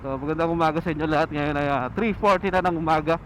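A man's voice: on the high side, quick pace, fairly bright tone, low-quality recording. A Filipino man chatting casually to himself as a motovlogger, rambling and repeating his words. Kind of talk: casual solo vlog chat while riding, with a cappella rap and singing. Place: outdoors on a moving motorcycle, inside a helmet. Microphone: action camera mic at the helmet. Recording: wind and vehicle noise inside helmet. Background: faint vehicle and road ambience.

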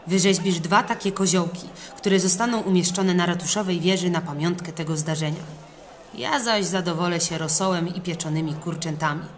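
Storybook town mayor, woman performing: authoritative, measured, stern but lenient. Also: fairly low pitch, a little hiss or hum, natural voice.

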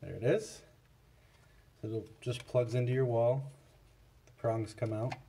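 A man with a mid-pitched voice walks through a product comparison at a slow pace. His delivery is casual and unscripted, a little halting, and matter-of-fact, like a seller showing gear on a tabletop. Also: fairly even intonation, mellow, a little hiss or hum. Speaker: product reviewer for a scanner seller.

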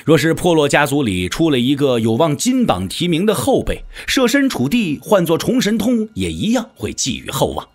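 A man's voice: on the high side, wildly swooping pitch, moderate pace, hardly any pauses. A man narrating a martial-arts novel as an audio drama, with theatrical, scripted storytelling delivery.

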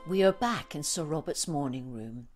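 A woman's voice: deep voice, animated, moderate pace, soft background music. A woman reading scripted stage directions as the narrator of a radio play.

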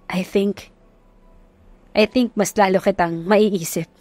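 A woman voicing a sincere, soft-spoken young man courting in an audio romance, with a measured manner and Filipino accent. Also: mid-range voice, fairly even intonation, no room sound, natural voice.

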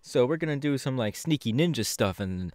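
A man's voice: mid-range voice, animated, brisk, a little mellow, no echo, clean studio sound, lightly acted. A man playing a friendly, bashful young hero with a hesitant, playful manner in an ASMR audio roleplay. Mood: casual, playful.

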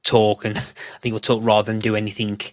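A man's voice: on the low side, monotone, brisk, no room sound. A British man hosting a podcast, casually discussing a television episode. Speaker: podcast host.

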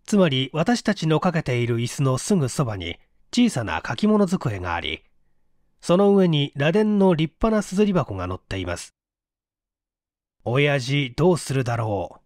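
A man's voice: medium pitch, big pitch swings, moderate pace, darkish tone, no echo. Male audiobook narrator, dry sound. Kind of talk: scripted story narration.

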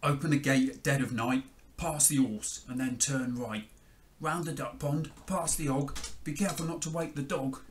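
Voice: mid-range voice, fairly even intonation, normal speed, pitched above the performer's own voice, lightly acted. A storyteller voicing a cunning, bungling thief from a children's storybook, conspiratorial and emphatic. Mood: scheming. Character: cunning, bungling storybook thieves creeping at night.

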